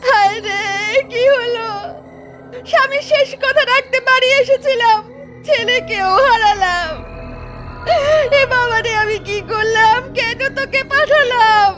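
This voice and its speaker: An anxious widowed mother in a horror cartoon, voiced by a woman, speaks in a high, plaintive voice. Music plays behind her worried words. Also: a little slow. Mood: grieving. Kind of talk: Bengali horror cartoon acting.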